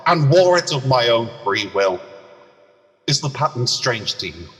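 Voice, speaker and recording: A tormented dead man's ghost in a radio play, drenched in long reverb. The delivery is declamatory and mournful, with drawn-out words and big sweeps of pitch. The tone is bright and forceful. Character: tormented wailing ghost of a dead male business partner. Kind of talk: amateur radio play acting.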